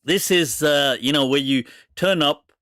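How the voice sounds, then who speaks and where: A man's voice: on the high side, unhurried, fairly bright tone, no room sound, studio quality. A man in his forties hosting a live question and answer show, chatting casually and laughing often. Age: 40s. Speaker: host answering viewer questions.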